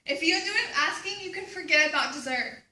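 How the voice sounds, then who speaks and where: A woman's voice: high-pitched, quick pace, bright, forceful tone. A woman playing an exasperated mother of a small child in a readers theater performance. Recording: echoey room, distant mic, faint noise.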